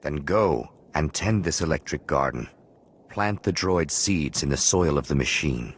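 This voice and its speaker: An actor playing a solemn, penitent hermit watchman in a video game, speaking in a measured, formal, philosophical way. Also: rumbling low, animated, slightly rough, exaggerated voice. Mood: calm, grave. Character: solemn male hermit Jedi watchman, calm, penitent, cryptic.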